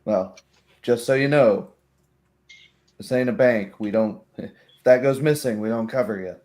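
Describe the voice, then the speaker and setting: A man's voice: medium pitch, measured pace, darkish tone, close to an everyday voice. A man voicing a wry, kindly woman barkeep in a tabletop game, talking in a folksy, easygoing way.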